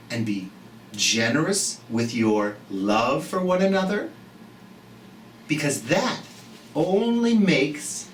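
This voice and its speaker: A storyteller of unclear gender hosting a children's storytime, theatrical and expressive, speaking gently and encouragingly straight to young viewers with drawn-out emphasis. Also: medium pitch. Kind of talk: animated children's read-aloud and gentle chat to young viewers. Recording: roomy echo, distant mic, light noise.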